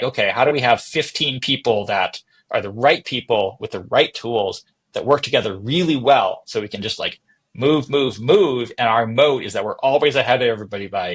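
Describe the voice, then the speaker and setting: A man's voice: medium pitch, a bit bright, husky. A man being interviewed as a startup boss, talking informally and explaining his thinking with examples and questions he poses himself. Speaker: tech startup CEO, interview guest. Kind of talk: conversational podcast interview.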